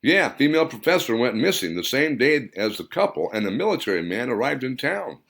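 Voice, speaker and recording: A man's voice: mid-range voice, big pitch swings, fairly quick, creaky, a bit bright, pitched above the performer's own voice. A man voicing a chatty, gossipy small-town store owner, a retired military man, in audiobook dialogue. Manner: folksy, chatty rural talk.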